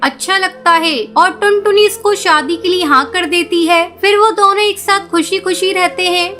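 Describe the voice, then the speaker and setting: A woman's voice: very high voice, steady tone, moderate pace, pushed and bright. A woman reading scripted narration for a children's cartoon story.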